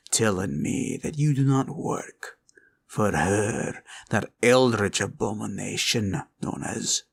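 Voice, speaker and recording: A man playing a proud, cunning green dragon in a villain roleplay audio, with a taunting drawl and a gravelly growl. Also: fairly low pitch, exaggerated voice. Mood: suspicious, menacing. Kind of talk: roleplay ASMR villain monologue.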